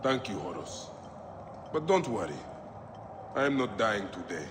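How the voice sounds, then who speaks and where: An actor playing a terse, defiant warrior in a video game cutscene, intense and determined. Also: male voice, fairly low pitch, sing-song, unhurried, echoey room, in a large hall, background noise. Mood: resolute.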